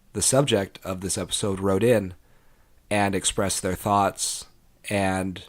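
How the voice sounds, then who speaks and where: Low-voiced earnest man hosting a podcast. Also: dry recording. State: slightly congested from a cold. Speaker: podcast host. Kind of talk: podcast intro and interview.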